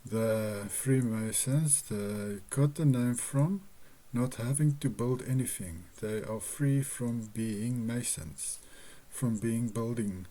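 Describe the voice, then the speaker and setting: Afrikaans-speaking guy, slow and earnest, kinda mellow voice, lots of restarts. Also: mid-range voice. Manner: earnest, measured, with hesitations and restarts. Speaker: religious video host. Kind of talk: slow personal teaching monologue.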